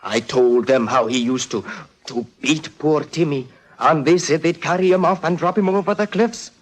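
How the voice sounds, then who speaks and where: A man's voice: a little high, moderate pace, close to an everyday voice. An actor playing a childlike, simple-minded village man who loves birds, in sing-song wonder, in 1940s radio style. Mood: eerie glee. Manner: sing-song wonder, talks of himself by name. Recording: old noisy 1940s radio recording, clicks.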